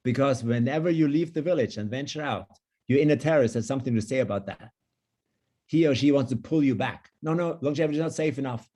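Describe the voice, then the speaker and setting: A man's voice: medium pitch, fast, dark tone. A man with a German accent, speaking as a guest longevity coach in an online panel discussion, and he talks in an enthusiastic, emphatic way. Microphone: video call.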